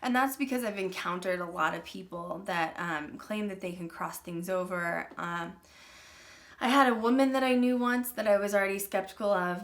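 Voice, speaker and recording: A woman's voice: lowish voice, fairly bright tone. A young adult woman hosting a paranormal channel, talking casually to her viewers, chatty and full of "um" and "like". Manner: chatty, peppered with "um" and "like". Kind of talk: casual vlog talk to viewers.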